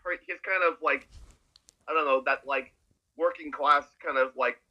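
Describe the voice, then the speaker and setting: A Canadian man chats casually in a highish, very bright and forceful voice. He is animated and often repeats words in bursts. The recording is lo-fi, with a dulled top end. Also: moderate pace. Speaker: podcast guest co-commentator. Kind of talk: casual discussion.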